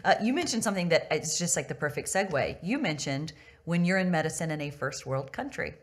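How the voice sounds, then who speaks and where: A woman with a fairly low voice hosts a relaxed, conversational interview. She sounds affirming and effusive, talking to her guest in an informal podcast style. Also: a little fast. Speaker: interview host.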